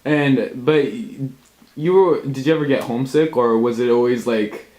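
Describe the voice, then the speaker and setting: A man's voice: medium pitch, normal speed, dark tone. A young man interviewing a relative, hesitant, stumbling through his questions. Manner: hesitant, many fillers and restarts. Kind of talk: casual family interview.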